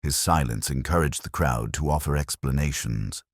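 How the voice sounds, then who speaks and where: A man narrating a literary mystery story in a measured, formal way. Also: a bass voice, no echo, studio quality.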